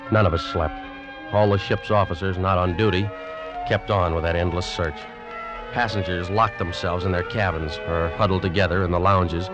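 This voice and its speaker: An actor playing an uneasy ship's officer, telling a suspense tale in old-time radio style with brooding, deliberate delivery. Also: male voice, deep voice, natural voice. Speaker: radio drama lead actor and narrator. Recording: muffled old radio recording, music behind.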